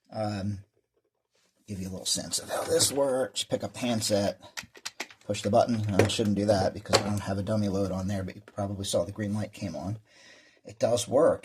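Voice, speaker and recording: A man's voice: lowish voice, a little mellow, slight background noise. A man presenting a hobby vlog in casual, rambling show-and-tell talk, with an enthusiastic manner. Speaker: amateur radio hobbyist presenter.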